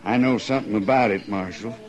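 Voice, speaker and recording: A man's voice: lowish voice, a little fast, natural voice. An American man acting a sullen, slippery frontier gambler in an old-time radio western, guarded and folksy. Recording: dull vintage radio, crowded saloon behind.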